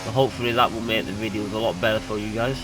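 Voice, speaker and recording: A man's voice: lowish voice, rapid-fire, music in the background. A young man with a nasal voice from hay fever, chatting casually while commentating his racing game. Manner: chatty, excitable. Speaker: gaming YouTuber. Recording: noisy home recording.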